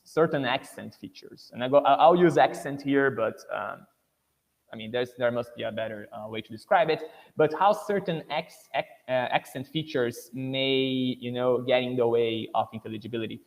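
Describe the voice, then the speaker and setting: A man from Brazil, speaking with a Brazilian Portuguese accent, presents an English-teaching webinar in a relaxed, conversational way. His voice sits at an ordinary middle pitch, and he peppers his points with "you know" and "right". The computer-mic audio carries a long reverb tail. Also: gravelly. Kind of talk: webinar lecture.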